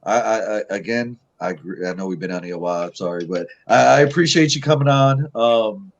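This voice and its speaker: A man with a somewhat low voice hosts a casual interview. He agrees readily and drops in frequent short replies while his guest talks. He wraps up with thanks and a last question. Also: a little fast, muffled recording, slight background noise. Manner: agreeable, backchannels a lot. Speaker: interview host.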